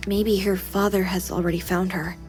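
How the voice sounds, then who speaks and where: A woman's voice: fairly low pitch, level tone, normal speed, darkish tone, close to an everyday voice, poor audio. A woman voicing a playful young female AI companion in a sci-fi visual novel, polite with a teasing edge. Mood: calm, curious. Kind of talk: visual novel game voice acting. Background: music.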